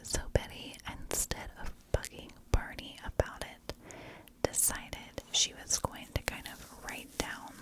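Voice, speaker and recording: A woman telling a story as whispered ASMR narration, hushed and in short phrases. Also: low-quality recording. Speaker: ASMR true crime storyteller, channel host.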